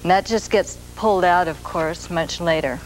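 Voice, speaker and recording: A woman in her forties hosting a TV cooking show, explaining a recipe in a slow, gentle, soft-spoken way from a studio kitchen set. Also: lowish voice, rough recording. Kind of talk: TV cooking demonstration. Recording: grainy 1980s videotape with noise.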